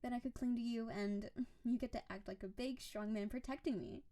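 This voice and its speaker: Casting: woman to play a yandere snow-spirit wife for intimate roleplay audio; high, soft-toned voice, sweet and clingy with quiet menace. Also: fairly quick, lightly acted. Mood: affectionate, eager. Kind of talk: intimate scripted roleplay audio addressed to the listener.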